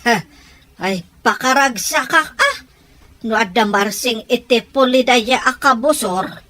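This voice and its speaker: Scheming, demanding woman played by an actress, somewhat high voice, questioning tone, audio drama. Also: measured pace, sharp tone. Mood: reproachful. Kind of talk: Ilocano radio-style audio drama acting.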